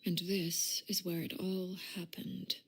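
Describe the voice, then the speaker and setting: An actress playing a cold, composed leader in a video game, telling a story in a measured, hushed, breathy voice. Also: female voice, on the low side, heavily acted. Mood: calm, somber. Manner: measured, calm, detached storytelling. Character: cold, composed woman crime-family leader recounting a dark past. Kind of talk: dramatic game character dialogue.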